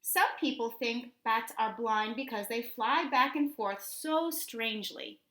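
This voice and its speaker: A woman teacher reading children's nonfiction aloud, addressing young listeners directly with deliberate, instructional phrasing. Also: fairly high pitch, pushed and bright, reverberant.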